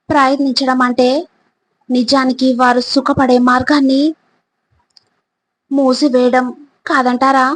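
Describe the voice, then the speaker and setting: A woman narrates scripted motivational reflections in a high voice. She goes slowly and deliberately, setting out each short phrase by itself. Her intonation stays very even from phrase to phrase. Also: poor audio. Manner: deliberate, phrase-by-phrase delivery with rhetorical questions.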